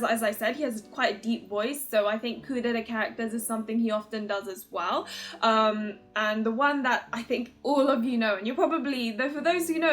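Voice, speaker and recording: A woman hosting an ad-libbed, chatty video commentary, rambling along in an excitable, giggly manner. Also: on the high side. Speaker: video host introducing games and voice actor's roles. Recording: music playing under the voice. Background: background music.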